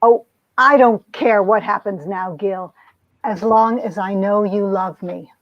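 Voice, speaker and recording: A woman's voice: mid-range voice, steady tone, unhurried, lightly acted. A woman playing a tender, wistful young heroine who earnestly narrates her 1950s comic-book romance, in dull, dry sound. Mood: tender, relieved.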